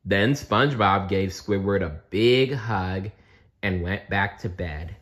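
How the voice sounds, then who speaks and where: A man's voice: low voice, takes it slowly, a bit bright. A man reading a storybook aloud as narrator, expressively and with playful asides. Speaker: storybook reader.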